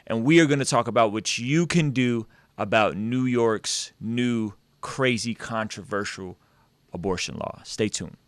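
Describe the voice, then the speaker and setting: A man's voice: mid-range voice, a little slow, dry recording. A man hosting a live stream, talking with energy, repeating words and restarting sentences as he goes. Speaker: host.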